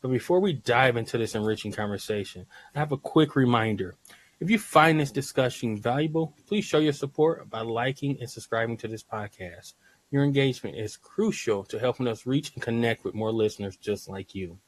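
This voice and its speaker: A man hosting a healthcare podcast, reading a smooth scripted intro and then chatting casually, with some stumbles and repeated words. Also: mid-range voice, animated, brisk, no room sound. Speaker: podcast host, nurse.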